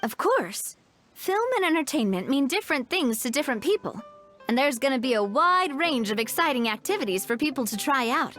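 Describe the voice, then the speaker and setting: A woman voicing a confident, upbeat young festival organizer in a video game, breezy, expressive and reassuring. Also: sits high, a little fast, faint music behind. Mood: helpful, explaining.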